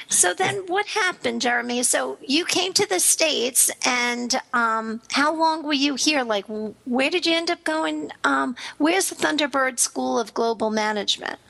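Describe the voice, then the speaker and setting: A woman's voice: fairly high pitch, creaky, bright, forceful tone, low-quality recording. A woman in her thirties hosting a radio interview show, chatty and enthusiastic. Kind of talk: casual interview chat.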